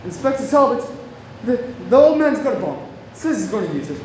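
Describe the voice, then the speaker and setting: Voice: mid-range voice, big pitch swings, a little fast, darkish tone, lightly acted. An actor playing an earnest, anxious Scotland Yard agent in a radio play. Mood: shaken. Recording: very echoey room, clearly noisy.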